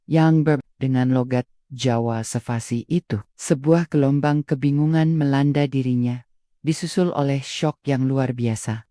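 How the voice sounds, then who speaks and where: A narrator reading a scripted story in an even, steady way. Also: medium pitch, no echo, clean studio sound.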